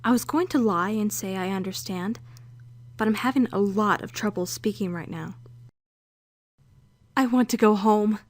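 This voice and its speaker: A woman's voice: medium pitch, moderate pace, pauses often, dry recording, natural voice. A woman playing an anxious young female trainee, earnestly narrating her own thoughts in a visual novel fan dub. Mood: shaken, anxious. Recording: music under a close, dry voice.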